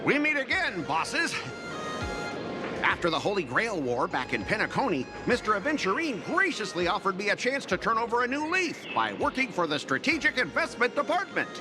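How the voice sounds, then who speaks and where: A man's voice: high voice, sing-song, normal speed. A man voicing a fawning, melodramatic corporate underling in a video game, with an obsequious, bombastic, exaggerated manner. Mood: eager, aggrieved. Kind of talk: video game character dialogue. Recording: clear music behind the voice. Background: game music.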